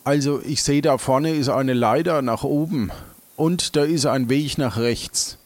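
A man's voice: medium pitch, unhurried, no echo. A man casually leading an improvised adventure role-play as its game master.

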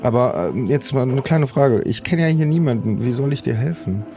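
A man's voice: mid-range voice, lively intonation, normal speed, close to an everyday voice. A man playing a practical, slightly impatient newcomer in an improvised audio play, speaking casually and matter-of-factly. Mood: casual, questioning. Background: background music.

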